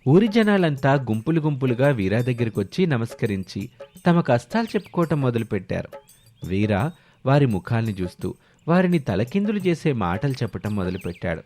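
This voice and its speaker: A man's voice: mid-range voice, big pitch swings, fairly quick, a little mellow, faint music behind. A man telling a scripted moral tale as storyteller.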